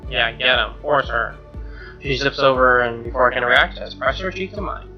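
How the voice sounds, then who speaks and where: A man doing an expressive read-aloud of a game's narration, with casual asides. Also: mid-range voice, normal speed. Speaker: gamer reading a visual novel aloud. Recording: roomy, dull lo-fi mic. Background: game music.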